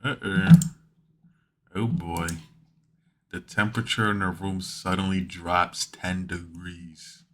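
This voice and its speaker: A man reading a visual novel aloud, acting out dialogue in an animated way and adding asides. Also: low-pitched, slow, pauses often. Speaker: gamer playing a visual novel. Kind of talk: dramatic read-aloud of game text with asides. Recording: music behind the voice.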